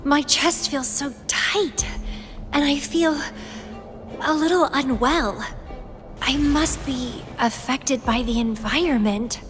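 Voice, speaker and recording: A woman's voice: high voice, slow. A woman playing an obsessive, playful yet melancholy young fighter in a video game, with a theatrical, languid, musing delivery. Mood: uneasy. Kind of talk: video game character acting. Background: background music.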